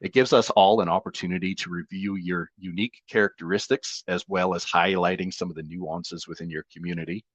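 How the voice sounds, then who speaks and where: A man working as an economic development advisor, presenting in a webinar in a friendly, measured way that softens at the ends of sentences. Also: low voice, dry recording. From Canada.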